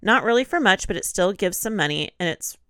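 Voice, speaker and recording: A woman's voice: mid-range voice, animated, brisk, bright, forceful tone, smooth, dry recording, studio quality. A woman co-hosting a podcast, chatting casually and reading posts aloud, slightly nasal and congested from allergies. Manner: informal, chatty. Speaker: podcast co-host.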